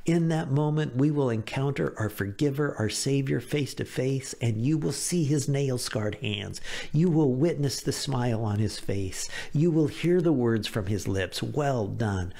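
An American man in his fifties preaching a devotional message as a pastor, earnest and deliberate, with emphatic phrasing, close to a microphone in a small room. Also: mid-range voice, expressive, vocal fry, mellow. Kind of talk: devotional sermon to camera. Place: small home office, indoors. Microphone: close studio microphone on a boom arm.

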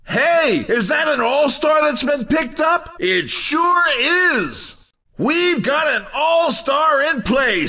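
A man giving excited, playful arcade racing game commentary in a hyped, shouting announcer delivery. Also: extremely high pitch, recorded from a distance. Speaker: video game race commentator.

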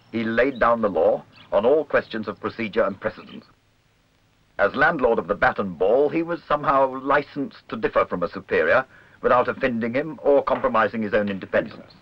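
A man's voice: on the low side, a little fast. A man reading scripted documentary narration. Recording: muffled old film soundtrack with music. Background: music.

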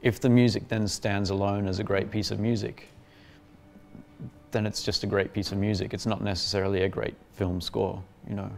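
Low gravelly young Australian man. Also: little pitch movement, moderate pace. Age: young adult. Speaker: film music competitor. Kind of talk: interview. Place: indoor room with curtains. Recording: faint music underneath.

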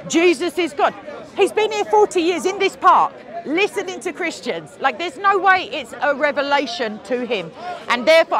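High-voiced woman debating in a park. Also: a bit bright. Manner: quick, assertive, wry. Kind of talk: street debate. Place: outdoors in a city park. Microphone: clip-on lapel mic. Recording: crowd murmur behind.

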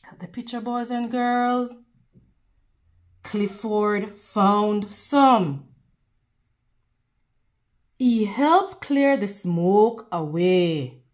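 A woman reading a picture book aloud to children in a teacherly way, pausing to prompt them with questions. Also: medium pitch. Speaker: read-aloud host.

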